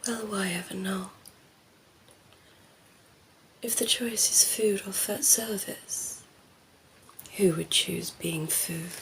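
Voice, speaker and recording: A cheeky female vampire played by a woman murmurs and whispers in an unhurried, creaky voice. She coos at humans with teasing, condescending affection, in the close, breathy style of ASMR roleplay. Clear background noise sits under the voice. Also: medium pitch, a bit bright, rough recording. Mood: teasing, soothing. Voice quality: breathy, often dropping into a whisper. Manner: slow, teasing, cooing.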